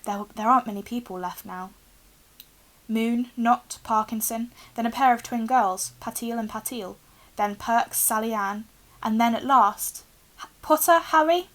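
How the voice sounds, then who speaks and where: A young woman reads a storybook aloud in an expressive narrating style. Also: on the high side, a little slow. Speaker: reader, teacher. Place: small room indoors with bookshelves.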